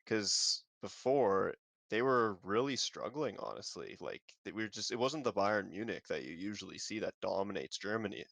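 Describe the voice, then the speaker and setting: A man co-hosting a casual football podcast, speaking haltingly and often ending with "right?". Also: fairly low pitch, expressive, gravelly, fairly bright tone, no echo. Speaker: co-host.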